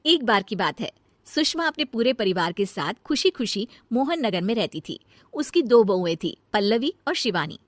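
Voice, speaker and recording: A woman with a fairly high voice narrates a scripted family story as its storyteller. The recording is close and dry, without room sound. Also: sing-song, moderate pace, no echo.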